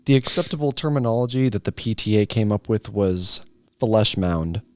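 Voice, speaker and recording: A young man playing a deferential, prim school official in an amateur comic table read, formal and deadpan. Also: on the low side, moderate pace, dry recording, studio quality, close to an everyday voice. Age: teens.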